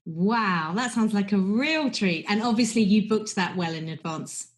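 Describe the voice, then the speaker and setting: A woman's voice: mid-range voice, lively intonation, moderate pace. A woman hosting a podcast, interviewing in a casual conversational style with encouraging, appreciative responses.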